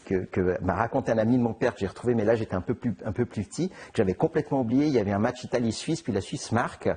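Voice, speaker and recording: A middle-aged man, answering questions in an interview. His voice is husky and fairly low, and he stumbles through many restarts and fillers as he gathers his thoughts. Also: warm tone. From Switzerland. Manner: halting, many restarts and fillers, laughs easily. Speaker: interviewed author. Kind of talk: conversational interview.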